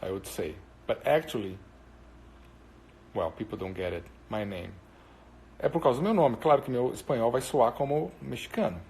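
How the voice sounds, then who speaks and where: A man's voice: mid-range voice, animated, fairly quick, creaky, a little mellow, low-quality recording. Brazilian man rambling through viewer chat. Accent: Brazilian Portuguese. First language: Portuguese. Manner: rambling, hesitant with many fillers. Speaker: musician answering viewers. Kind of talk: casual live-stream chat.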